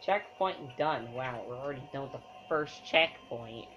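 A man's voice: mid-range voice, animated, normal speed, background noise. A young man giving casual, exclamatory, rambling commentary as he plays a video game. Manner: rambling, exclamatory, mild slang. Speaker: let's play gamer. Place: room in front of a TV screen. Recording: dull lo-fi camera mic, loud game audio. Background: game music and sound.

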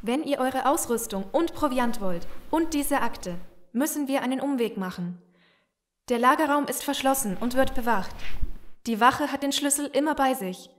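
A desperate young woman in a zombie radio play. Her voice is high, and she speaks with earnest urgency as someone who betrayed others and now wants out. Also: animated, moderate pace. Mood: determined. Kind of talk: German audio drama acting.

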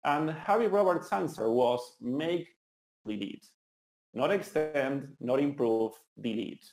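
A man's voice: mid-range voice, animated, slow pace, gravelly, a little mellow. Argentinian man in a roomy hall. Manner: earnest, enthusiastic, some restarts. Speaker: conference speaker. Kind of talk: tech conference talk. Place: meetup venue hall.

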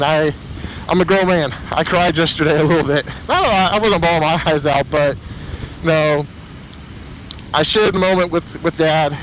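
Hoarse, emotional man vlogging. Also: on the high side, a bit bright, slight background noise, poor audio. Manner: emotional, rambling, halting restarts. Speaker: motorcycle vlogger. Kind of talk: casual chat to camera.

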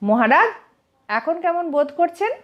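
Woman as cheeky, lively-pitched court jester Gopal. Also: measured pace, lo-fi sound, full character voice. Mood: teasing. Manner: mock-earnest, teasing. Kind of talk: dramatized comic audio storybook.

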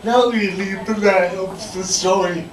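A young man performing stand-up comedy stories in a halting, drawn-out, effortful delivery. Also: high voice, steady tone. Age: young adult. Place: small dim comedy club stage indoors. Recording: noisy, echoey live recording from far off.

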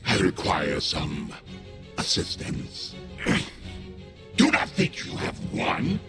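An actor voicing a haughty, menacing otherworldly spirit in a video game, with grandiose, taunting delivery and a gravelly voice. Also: male voice, rumbling low, huge pitch swings, takes it slowly, over music, exaggerated voice. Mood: pompous contempt. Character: haughty, ranting otherworldly possessor spirit in a man's body. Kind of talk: game cut-scene acting.

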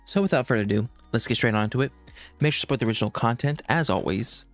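A man's voice: mid-range voice, expressive, racing, dry recording, soft background music. A young man giving casual reaction commentary as a video host, close to the mic in a bedroom. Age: young adult. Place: small bedroom, indoors. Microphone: close studio mic on boom arm.

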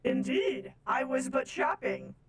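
A woman's voice: high voice, sing-song, slow, full character voice. A woman voicing an oddly formal, naive female space robot from Venus in a game roleplay, speaking in stilted, literal phrases. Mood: innocent, formal. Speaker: streamer playing a roleplay game.

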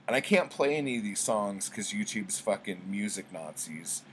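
A man's voice: on the low side, lively intonation, moderate pace, slight background noise. A middle-aged man chatting casually to camera as a book vlogger, rambling in a laid-back, slangy, sweary way. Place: small book-lined room at home.

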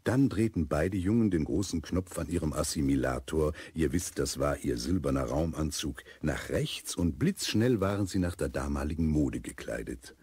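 A man with a husky voice narrating a children's radio play in a scripted storytelling style. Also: lively intonation, normal speed, hardly any pauses, mellow, no room sound. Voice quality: very deep, husky.

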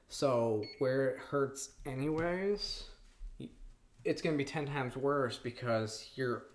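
A woman in her thirties hosting a cooking vlog, talking to camera in an earnest, rambling way from her home kitchen. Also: very deep voice. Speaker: cooking show host. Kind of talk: casual to-camera vlog talk. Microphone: camera on the counter at mid distance.

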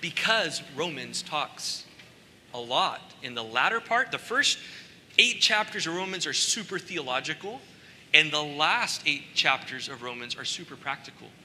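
A middle-aged man, born in Costa Rica, preaching a conversational sermon on a large church stage with animated asides. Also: fairly high pitch, a little slow, a little hiss or hum. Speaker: pastor. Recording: long hall reverb.

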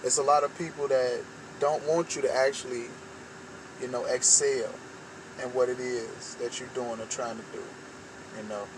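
A man's voice: medium pitch, fairly even intonation, moderate pace. A young American man giving an informal motivational monologue to camera, with emphatic, repetitive phrasing. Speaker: vlogger giving motivational talk. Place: inside a parked car at night. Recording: clearly noisy vehicle ambience.

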